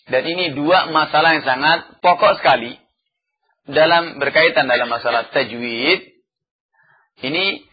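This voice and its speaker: A man teaching a religious lesson, chanting verses slowly and then explaining them with frequent "ya" fillers. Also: medium pitch, slightly rough, muffled recording. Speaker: Islamic teacher. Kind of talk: religious study lecture.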